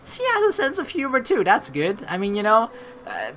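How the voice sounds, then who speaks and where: A woman's voice: a little high, wildly swooping pitch, normal speed, a bit bright, a little hiss or hum. A woman hosting a gaming video, reading game narration aloud and reacting in a chatty, theatrical way. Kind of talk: let's play commentary and read-aloud game narration. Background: faint game music.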